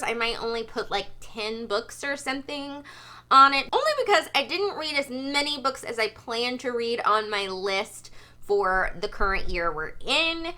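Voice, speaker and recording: A woman with a fairly high, bright and forceful voice speaks in a casual vlog style. She chats informally as a book vlogger, leaning emphatically into key phrases with an animated delivery.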